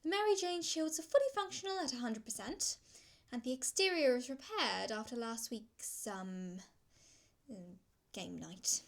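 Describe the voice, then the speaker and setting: High-pitched, polite female ship AI played by an actress. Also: animated, vocal fry. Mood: helpful, dry. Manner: formal, measured, precise wording. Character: polite, teasing female ship artificial intelligence. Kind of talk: scripted audio drama acting.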